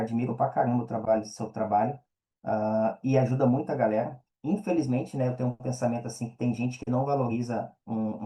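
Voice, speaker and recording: A man's voice: mid-range voice, dark tone. A Brazilian man chatting casually as an interview guest, explaining things in slangy, informal speech and often checking that he is understood. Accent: Brazilian Portuguese. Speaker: podcast guest.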